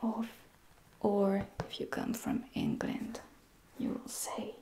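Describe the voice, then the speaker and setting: Woman, French accent, whispered ASMR teacher roleplay, gravelly texture, patient repetition. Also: mid-range voice, wildly swooping pitch, slow, mellow. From France.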